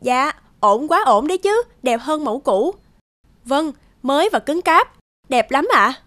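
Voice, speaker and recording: A young woman voicing a polite, deferential new graduate in an animated series. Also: high voice, unhurried, no room sound. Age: young adult. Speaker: new art graduate in a label-cutting job.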